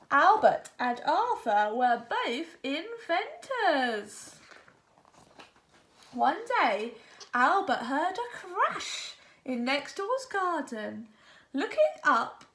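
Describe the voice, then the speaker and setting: A woman storyteller reads a children's picture book with a high, lively voice. She is expressive and dramatic, taking her time over the words. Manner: expressive, dramatic delivery with acted sound words. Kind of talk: animated read-aloud of a picture book.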